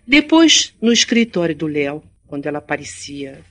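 A woman's voice: low-pitched, sing-song, normal speed, fairly bright tone. Radio drama: an articulate young city woman, frank and kind, formal diction. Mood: reflective, earnest. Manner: formal, carefully enunciated speech. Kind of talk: Brazilian radio drama acting.

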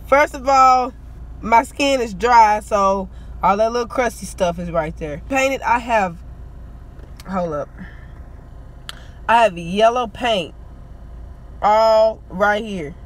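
A woman's voice: medium pitch, slow pace, pauses often, a little hiss or hum. A young woman, ranting indignantly to her phone camera from inside a parked car. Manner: indignant, animated complaining. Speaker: beauty vlogger. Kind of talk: casual vlog rant to camera. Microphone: phone camera at arm's length.

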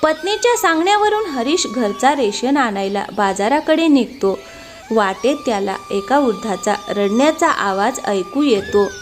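Female storyteller, scripted narration, slightly high voice, music bed underneath. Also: expressive, measured pace. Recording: music behind the voice.

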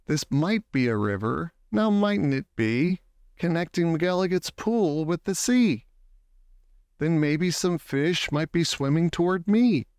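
Male storybook reader, unhurried and clear-toned, stretching words for emphasis in an expressive children's read-aloud. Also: on the high side, no room sound, studio quality. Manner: deliberate phrasing, drawn-out words for emphasis.

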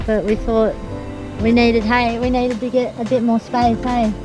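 A young woman with a fairly high voice talks loosely and chattily from inside a four-wheel-drive on the road. She rambles a little and tags her sentences with "hey". Music plays clearly behind her on the action camera recording. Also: level tone, measured pace, low-quality recording. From Australia. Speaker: vlogger. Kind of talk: casual travel vlog chat. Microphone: action camera on the dashboard.

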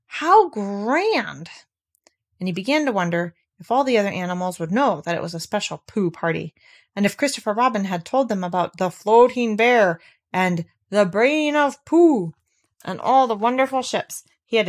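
A woman reading a children's storybook aloud, with expressive, varied delivery. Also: mid-range voice, moderate pace. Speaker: reader of children's books. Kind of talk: scripted storybook read-aloud narration.

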